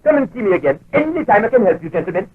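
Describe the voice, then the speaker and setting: Casting: middle-aged German Nazi official, slippery and ingratiating, wide pitch swings, narrow vintage radio sound. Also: male voice, big pitch swings, very fast, husky, no room sound, exaggerated voice. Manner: formal, ingratiating, hedging. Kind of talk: 1940s radio acting. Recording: tinny, narrow-band 1940s radio recording.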